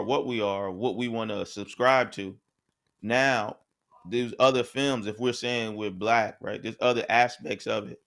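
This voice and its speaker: A man's voice: mid-range voice, creaky, a bit bright. A man co-hosting a podcast, talking in a casual, conversational way and often checking in with "right?". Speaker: podcast co-host. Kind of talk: casual discussion.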